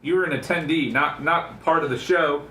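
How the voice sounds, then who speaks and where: A man's voice: fairly high pitch, normal speed, sharp tone. A male radio co-host trading casual, joking banter in a teasing, needling manner, with an echoey room sound.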